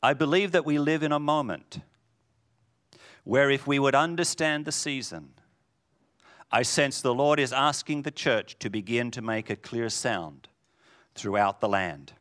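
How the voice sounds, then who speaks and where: A man preaching a sermon in a booming voice, swinging from loud declarations to hushed lines. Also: measured pace, long pauses.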